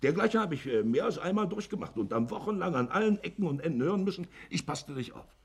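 Shifty village innkeeper, gravelly and husky. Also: male voice, on the high side, lively intonation, fast talker. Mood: indignant. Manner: hesitant, wordy, self-justifying pleading. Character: shifty, smooth-talking village innkeeper hiding a crime. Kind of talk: German radio play acting.

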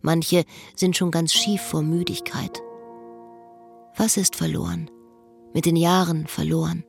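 An actress playing a melancholy, wry woman who narrates and speaks in a literary radio play, measured and terse. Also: fairly low pitch, flat delivery, creaky, faint music behind. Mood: pensive. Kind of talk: literary radio play, inner monologue and dialogue.

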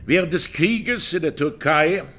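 An actor playing an impassioned, high-minded defence lawyer in a radio play, addressing a jury in solemn, rhetorical style. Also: male voice, unhurried, a bit bright, slight background noise. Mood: impassioned, pleading. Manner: rhetorical, solemn, dwelling on key words. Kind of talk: courtroom closing speech in a radio play.

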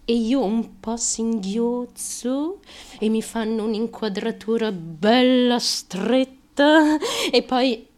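An actress playing a fame-hungry, fragile and determined young woman in an intense confessional stage monologue, with a deliberate, emphatic and halting delivery. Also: a little high, a little mellow. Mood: wistful, hopeful. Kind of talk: intense theatrical monologue, confessional stream of consciousness.

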